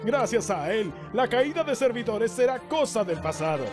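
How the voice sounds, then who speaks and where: A man's voice: extremely high pitch, very animated, normal speed. A man playing a jovial, effusive older company boss in a cartoon dub. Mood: jovial, proud. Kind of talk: cartoon fan dub acting. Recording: music behind the voice.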